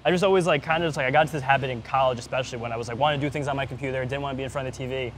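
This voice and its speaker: A man's voice: mid-range voice, rapid-fire. A man co-hosting a casual sports podcast, talking in an informal, rambling way. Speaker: podcast co-host. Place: outdoors in Florida. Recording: outdoor urban background noise.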